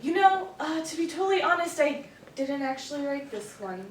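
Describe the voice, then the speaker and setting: A woman's voice: sits high, big pitch swings, normal speed. A woman playing a blunt, exasperated, sardonic radio writer in a live stage play. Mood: dry, suspicious. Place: indoor theater stage, picked up from afar. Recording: echoey stage, distant mic, faint noise.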